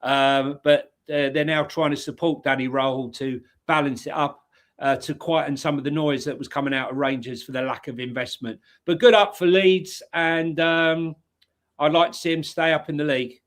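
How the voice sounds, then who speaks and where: Bloke co-hosting a football chat, bit high voiced, rambles with lots of ums. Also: male voice, fairly even intonation, moderate pace, a bit bright. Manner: rambling, full of fillers and restarts, enthusiastic. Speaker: co-host.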